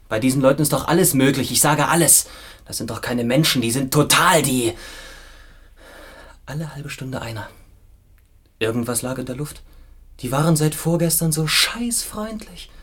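A man's voice: medium pitch, expressive, moderate pace, pauses often, a bit bright, slightly rough. An actor playing a bitter, restless man just freed from political prison, in a radio drama. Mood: agitated, haunted. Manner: restless, repetitive, erratic between outburst and mutter.

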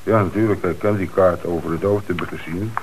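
An actor playing a thoughtful, questioning police detective in a radio play, recorded with old-fashioned hiss. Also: male voice, low-pitched, steady tone, brisk, close to an everyday voice, rough recording. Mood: puzzled, pondering.

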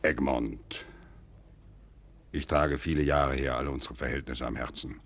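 An actor playing a grave, shrewd, wary prince in a classical German radio drama, with deliberate, weighty declamation. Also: male voice, a bass voice, fairly even intonation, fairly bright tone, exaggerated voice. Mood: brooding, confiding.

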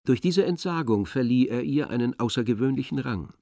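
A man's voice: medium pitch, expressive, fairly quick, warm tone, no room sound. Male literary narrator, very dry sound. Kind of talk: scripted literary radio play narration.

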